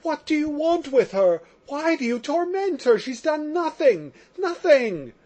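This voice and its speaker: A man's voice: sits high, big pitch swings, measured pace, heavily acted. A man voicing a desperate, passionate young prisoner who pleads and exclaims in an audiobook reading. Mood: pleading.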